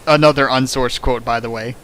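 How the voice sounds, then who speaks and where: A man's voice: medium pitch, fairly even intonation, a little fast, fairly bright tone, a little hiss or hum. A man reading a fan fiction aloud for laughs, breaking off into sarcastic, mock-outraged commentary. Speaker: reader riffing a fan fiction. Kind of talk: comedic dramatic reading with commentary.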